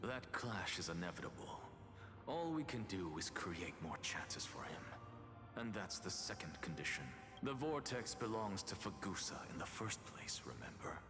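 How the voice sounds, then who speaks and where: An actor playing a calm, wry, scheming male alchemist genius in a game. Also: low-pitched, moderate pace. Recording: game audio with reverb and music.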